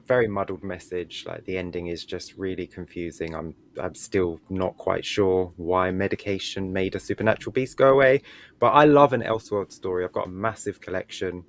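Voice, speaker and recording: Low-voiced man giving blunt opinions. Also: moderate pace. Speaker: book club panelist. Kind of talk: casual opinionated discussion.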